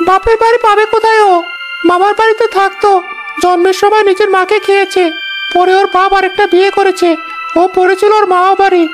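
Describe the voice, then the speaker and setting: An extremely high-pitched village son, voiced by a woman, with little melody in the delivery. He is earnest, commanding and devoted to his mother, in cartoon drama style over music. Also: level tone, a little fast. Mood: stern. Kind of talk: animated horror drama acting.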